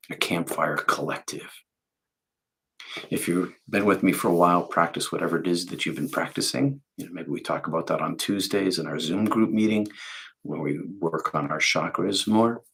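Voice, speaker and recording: Deep husky calm-voiced man. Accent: faint Boston. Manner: calm, unhurried, soft-spoken. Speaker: meditation teacher. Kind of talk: guided meditation talk.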